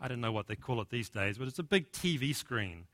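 A middle-aged man with a New Zealand accent, worn slightly American, preaches to a church congregation. His voice is bright and forward, and the sound is dry and close. He talks warmly, pokes fun at himself, and fills pauses with "uh". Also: mid-range voice, expressive, no room sound. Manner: friendly, self-deprecating, frequent "uh" fillers. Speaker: visiting pastor. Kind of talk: sermon. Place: church sanctuary.

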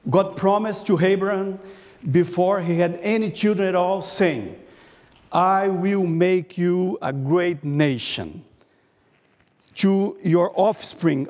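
Brazilian guy preaching, slow careful delivery, big echoey church hall. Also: high-pitched, a little mellow. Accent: Brazilian Portuguese. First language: Portuguese. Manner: careful, halting delivery, reading from notes. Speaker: guest preacher. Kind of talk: sermon. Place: church sanctuary. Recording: long reverb of a church hall.

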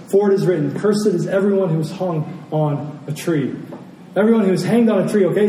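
High-pitched male preacher in a roomy space. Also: warm tone. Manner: earnest, repeats key phrases for emphasis. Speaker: pastor preaching. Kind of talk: sermon. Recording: roomy, distant pickup with faint noise.

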